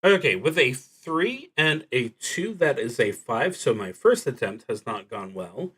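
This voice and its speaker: A man streams a solo tabletop game, thinking aloud as he goes. His voice sits at a middle pitch with a bright, forceful edge. He takes his time, halting and deliberate, and drops in dry asides. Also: very animated, husky. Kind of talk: live play narration, thinking aloud.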